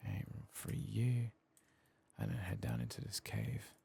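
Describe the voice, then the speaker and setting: A soft-spoken man murmuring ASMR commentary as he plays a video game. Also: low-pitched, sing-song, a little slow, gravelly, breathy edge. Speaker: gaming streamer. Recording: faint background noise.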